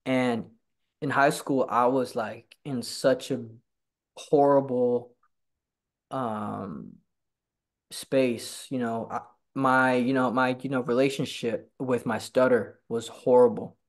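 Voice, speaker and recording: A man's voice: medium pitch, fairly even intonation, takes it slowly. Young man who stutters, many fillers. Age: young adult. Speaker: guest. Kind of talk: casual video-call interview. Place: home room by a staircase. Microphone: laptop webcam on a video call.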